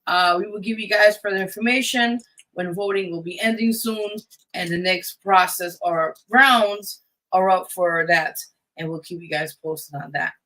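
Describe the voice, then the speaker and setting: A woman with a bright, forward voice hosts a live radio show. She delivers announcements in a casual, informal way, speaking straight to her listeners. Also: fairly low pitch, normal speed, low-quality recording. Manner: informal, addressing listeners as guys.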